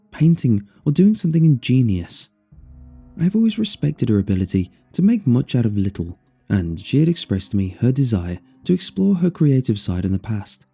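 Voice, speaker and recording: A man narrating a scripted first-person horror story in a measured, expressive way over music. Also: mid-range voice, creaky, breathy edge. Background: faint music.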